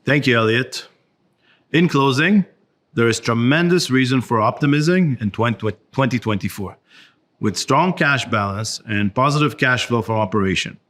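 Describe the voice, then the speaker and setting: Male chief executive, mid-pitched voice, formal prepared remarks for an investor call. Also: normal speed, a bit bright. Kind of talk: scripted corporate remarks.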